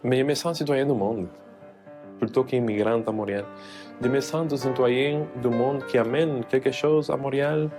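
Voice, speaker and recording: A man's voice: medium pitch, moderate pace, music in the background. A man from Cuba, a jazz pianist, speaking French as an in an interview, hesitant with many fillers and restarts. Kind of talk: interview testimony.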